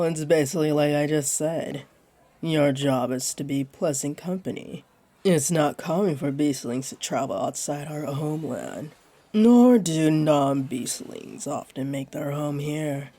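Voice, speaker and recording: Husky, slow-talking flirty jaguar woman, acted by a woman. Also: warm tone. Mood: patient, teasing. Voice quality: deep, breathy, husky voice. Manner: slow, teasing, playful, soothing. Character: flirty, teasing jaguar beastling woman, laid-back tavern regular. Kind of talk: roleplay audio acting, addressed to one listener.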